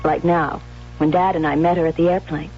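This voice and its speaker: Casting: young American bride-to-be, jealous younger sister, intense and emphatic, old radio drama with music behind. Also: female voice, low-pitched, flat delivery, a little fast, a little mellow. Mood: brooding, confiding. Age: young adult. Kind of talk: 1970s radio drama acting, dialogue and confessional narration. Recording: muffled old radio broadcast, faint music.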